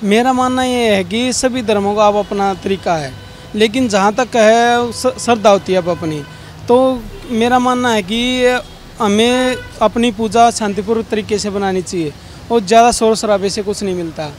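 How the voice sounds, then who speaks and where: High-voiced, plain-spoken Indian man. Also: normal speed, slight background noise. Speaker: member of the public giving opinion. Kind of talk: vox pop opinion.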